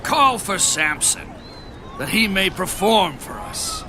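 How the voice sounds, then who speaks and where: A man's voice: high voice, sing-song, slow pace, full character voice. A man playing a triumphant Philistine reveller, declaiming in a dramatized Bible recording. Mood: jeering. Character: gloating Philistine reveller exulting over captured enemy. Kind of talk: dramatized Bible audio acting. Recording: loud crowd and noisy ambience behind.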